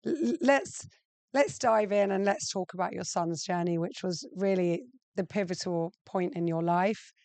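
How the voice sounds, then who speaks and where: A woman's voice: lowish voice, moderate pace, darkish tone, no echo. A woman hosting a casual interview show, talking in an enthusiastic, effusive way. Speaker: show host.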